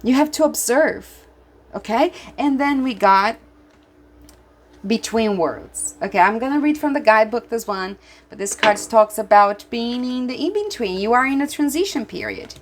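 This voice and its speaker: Excitable Brazilian woman reading tarot. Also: fairly high pitch, moderate pace. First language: Portuguese. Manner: excitable, laughs often, restarts sentences. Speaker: tarot reader.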